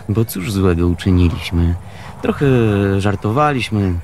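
An actor playing an aggrieved, mocking former assistant who complains chattily in a radio play. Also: male voice, sits low, a little mellow.

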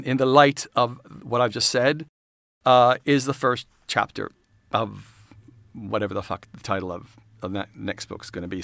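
A man reading his own self-help audiobook in an expressive, irreverent, emphatic, sweary way. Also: mid-range voice, no room sound. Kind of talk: expressive audiobook narration with candid, rambling asides. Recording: studio audiobook recording with music behind. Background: music.

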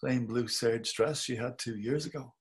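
A man's voice: medium pitch, natural voice. A middle-aged actor playing a wry, musing Dublin man, reading over a video call. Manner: musing inner monologue, slow and measured. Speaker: actor reading a male lead. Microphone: webcam on a video call.

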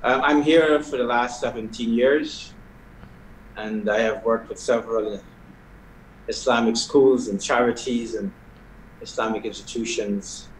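Soft-spoken middle-aged man on video call. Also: mid-range voice, fairly even intonation, slight background noise. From Guyana. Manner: measured, soft-spoken with hesitations. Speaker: prison chaplain. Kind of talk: interview answers. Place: video call from an indoor hall. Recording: echoey video call audio.

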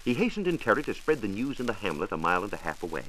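A man's voice: fairly low pitch, very animated, very fast, fairly bright tone. An American man, a science reporter narrating a scripted 1940s radio programme in a formal, precise, emphatic way. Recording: faintly noisy 1940s radio transcription disc.

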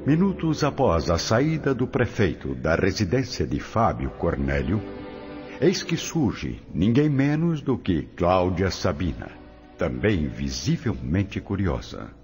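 A man's voice: deep voice, very animated, a little slow, a little mellow, faint music behind. A man with a Brazilian accent giving dramatic scripted narration.

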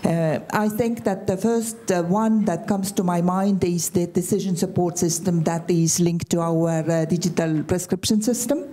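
An older woman from Estonia with an Estonian accent, speaking as a conference panelist into a handheld mic, pausing often with fillers. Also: fairly low pitch, steady tone, mellow. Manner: hesitant, many filler sounds. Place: large conference hall stage. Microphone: handheld microphone.

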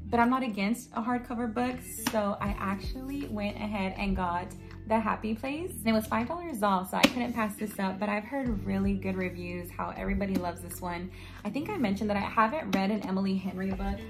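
Young woman, slightly hoarse, raspy, casual bedroom vlog, clear music underneath. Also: medium pitch, fairly quick. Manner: chatty, reads book blurbs aloud, frequent "like" and "um". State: slightly hoarse from yelling at a concert. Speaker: book vlogger. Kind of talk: casual book haul chat. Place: bedroom, indoors. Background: background music.

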